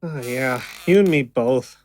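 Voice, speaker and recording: A woman's voice: rumbling low, measured pace, darkish tone, dry recording. A woman playing a young stage magician, affectionate and easily flustered, in a naturalistic audio drama.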